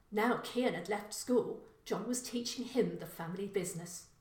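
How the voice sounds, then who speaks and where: Female storyteller for crime audiobook: slow, deliberate narration, reverberant room sound. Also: medium pitch, distant mic. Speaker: author reading own story. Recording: echoey room.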